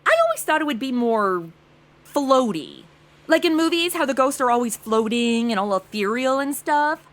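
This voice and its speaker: Woman playing a high, dramatic young American ditz. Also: animated, moderate pace, a bit bright. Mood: uneasy, flippant. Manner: dramatic modern slangy delivery. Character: flighty, self-absorbed young ex-cheerleader makeup blogger, melodramatic. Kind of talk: comedy audio drama acting.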